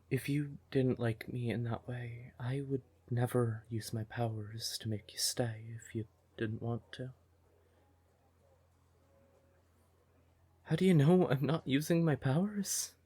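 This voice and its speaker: Guy doing a siren boyfriend for asmr roleplay, husky mid voice, takes his time, affectionate and emotive. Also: medium pitch, a little mellow. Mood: earnest, pleading. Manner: tender, unhurried, emotive, with pet names. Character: devoted male siren nightclub singer, affectionate boyfriend, easily exasperated. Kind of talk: intimate ASMR audio roleplay.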